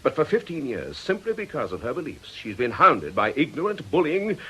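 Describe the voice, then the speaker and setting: Earnest, expressively intoned vicar. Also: male voice, on the high side, wildly swooping pitch, brisk, pushed and bright, breathy edge, full character voice, rough recording. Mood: indignant, impassioned. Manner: articulate, courteous, pleading. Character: earnest, defensive male vicar with a troubled past. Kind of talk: British radio drama acting.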